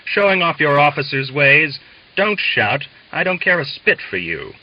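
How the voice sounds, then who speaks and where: A man's voice: on the high side, sing-song, unhurried, pitched above the performer's own voice. Man voicing a hot-headed, snarling young revolutionary, dull audio. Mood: furious. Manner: urgent, snappish, goading. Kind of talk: dramatised audiobook dialogue.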